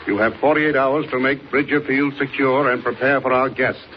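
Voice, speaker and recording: Stern, formal company boss, played by an actor. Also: male voice, medium pitch, fairly even intonation, normal speed, lightly acted. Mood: stern, commanding. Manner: authoritative, clipped, formal. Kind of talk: 1940s radio acting. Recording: muffled, slightly noisy 1940s radio recording.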